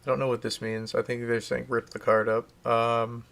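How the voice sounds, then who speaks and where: A man's voice: medium pitch, level tone, moderate pace, gravelly, a bit bright. A man streaming live, casually chatting and reading out viewer comments, rambling and restarting his sentences.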